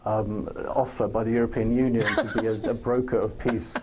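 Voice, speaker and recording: A man speaking as a pundit in a political panel discussion, pausing to think and repeating phrases for emphasis. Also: fairly low pitch, level tone, background noise.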